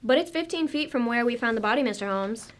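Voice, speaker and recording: A woman's voice: fairly high pitch, expressive, fast talker, close to an everyday voice. A woman playing a deferential, plain-spoken country police sergeant in a radio drama, with a folksy way of talking. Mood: matter-of-fact.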